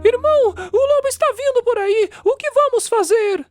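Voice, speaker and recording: A woman voicing a carefree young boy piglet in a children's cartoon, squeaky and childlike. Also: moderate pace. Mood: worried. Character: carefree young middle pig brother, small and squeaky. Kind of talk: children's cartoon character acting. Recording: music under the voice.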